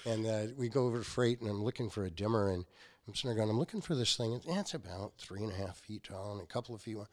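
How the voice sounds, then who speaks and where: A man's voice: lowish voice, quick pace. A man in his sixties, a company founder, telling stories as a guest and acting out the quotes in them. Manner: animated storyteller acting out quotes. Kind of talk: casual storytelling.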